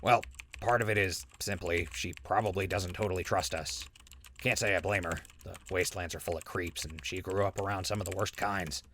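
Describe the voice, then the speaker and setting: Deep, bright, wry wasteland traveler male actor. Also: fairly even intonation, brisk, a little hiss or hum. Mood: relaxed. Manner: dry, casual. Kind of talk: audio drama acting.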